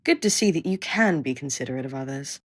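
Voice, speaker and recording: A woman's voice: rumbling low, expressive, fast talker, background noise. A woman acting a snarky, impatient scientist with a blunt, sarcastic manner in an audio roleplay. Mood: grudging, dismissive. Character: snarky, impatient female scientist, grudgingly welcoming.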